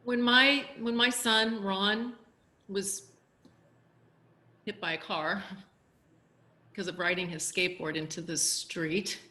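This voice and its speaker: Woman acting grieving mother, slow, echoey room. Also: mid-range voice, steady tone, pushed and bright. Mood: grieving guilt. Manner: halting, heavy pauses, emotional. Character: grieving, guilt-ridden mother, leader of a support group. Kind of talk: dramatic theatrical monologue. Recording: echoey room over video call.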